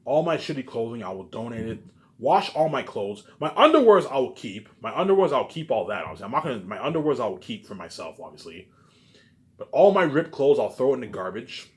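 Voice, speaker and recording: A man's voice: mid-range voice, big pitch swings, darkish tone. A man giving a rambling, informal monologue to his viewers, repeating himself, with emphatic profane bursts that drop into muttered asides. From Canada. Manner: repetitive, halting, with habitual trailing tags. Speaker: vlogger.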